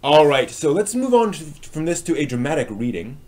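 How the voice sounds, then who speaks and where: A man's voice: fairly high pitch, very animated, moderate pace, darkish tone. A man hosting a live stream, chatting casually and ramblingly as he responds to viewers. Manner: rambling, reacting to chat as it comes.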